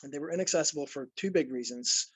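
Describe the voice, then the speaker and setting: A man's voice: fairly high pitch, fast talker, a bit bright, husky, no room sound. A man from Ireland, presenting as a nonprofit program director in a webinar, earnest and explanatory, with frequent fillers and restarts.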